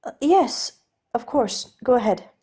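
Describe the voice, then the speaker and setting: A fussily polite gentleman sailor , acted out by a woman narrator, chattering in a lifted, nervous storybook voice. He is hesitant and flustered, babbling on with careful manners. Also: fairly high pitch, lively intonation, measured pace, slightly rough, pitched above the performer's own voice. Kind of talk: audiobook character voice.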